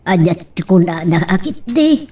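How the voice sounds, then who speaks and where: A woman's voice: on the low side, sing-song, moderate pace, mellow, breathy edge, far from the mic. A woman playing a concerned, motherly auntie in an Ilocano family drama. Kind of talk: Ilocano family radio-style drama acting.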